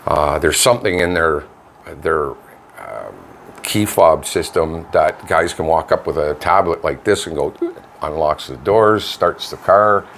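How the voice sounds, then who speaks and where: A Canadian man with a very low-pitched voice co-hosts a casual local radio show. He talks in a chatty, folksy, colloquial way. The home studio recording has a faint layer of noise behind him. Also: unhurried, a bit bright. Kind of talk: casual local news chat.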